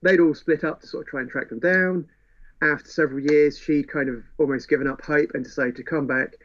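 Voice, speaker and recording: A man's voice: on the high side, fairly even intonation, brisk, fairly bright tone. A man in a casual book discussion, telling the story with wry relish. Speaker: podcast co-host. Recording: dull, muffled lo-fi audio.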